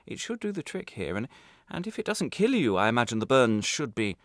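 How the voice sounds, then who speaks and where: An actor playing an embittered, vengeful former prisoner in a radio play monologue, deliberate and theatrical in his menace, swinging between hushed and agitated. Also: mid-range voice, sing-song, dry recording, studio quality. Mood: taunting, brooding.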